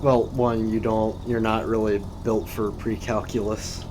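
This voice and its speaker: A teenage boy co-hosting a casual chat, hesitant with dry deadpan quips. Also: on the low side, unhurried. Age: teens. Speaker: co-host. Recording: noisy background.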